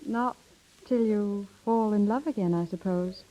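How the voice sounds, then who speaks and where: A woman's voice: medium pitch, unhurried, warm tone, close to an everyday voice. An actress playing a proud, lovelorn young office girl in a 1930s radio drama, demure and wry, hiding her hurt. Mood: wistful. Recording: muffled 1930s radio broadcast with music.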